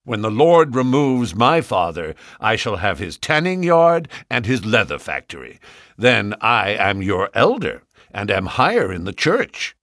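A man reading an audiobook, voicing a boastful, sanctimonious young suitor in a slow, measured delivery. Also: lowish voice, very animated, fairly bright tone, dry recording, clean studio sound, heavily acted. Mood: smug.

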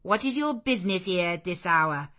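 Woman doing an elderly servant woman, gruff, blunt, suspicious, lower-toned voice, storybook. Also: animated, moderate pace, sharp tone. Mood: sharp. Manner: gruff and plain-spoken.

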